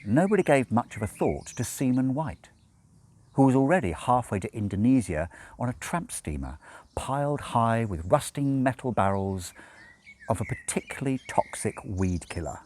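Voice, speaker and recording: A man reading a novel aloud as expressive narration, with measured, dramatic phrasing and deliberate pauses. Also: medium pitch. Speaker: audiobook reader.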